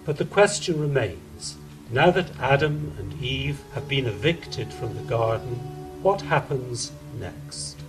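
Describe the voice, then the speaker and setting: Narrator for an audio drama: man, mid-range, unhurried scripted delivery over a clear music bed. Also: mid-range voice, animated, rough recording.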